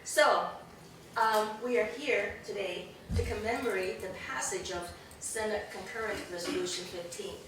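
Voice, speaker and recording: A middle-aged woman, slightly hoarse, giving an earnest, emphatic speech without a microphone as an event host. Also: mid-range voice, moderate pace. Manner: earnest, emphatic, animated gestures. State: hoarse, losing her voice. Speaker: community leader, event host. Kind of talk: formal public speech. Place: large room with a backdrop, indoors. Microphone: no microphone, recorded by a distant camera. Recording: echoey room from a distance, noisy.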